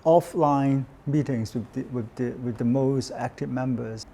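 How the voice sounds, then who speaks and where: Mellow-voiced male interviewer. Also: mid-range voice, steady tone, a little hiss or hum. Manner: polite, slightly halting questions. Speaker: interviewer and host. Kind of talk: scripted narration and interview questions.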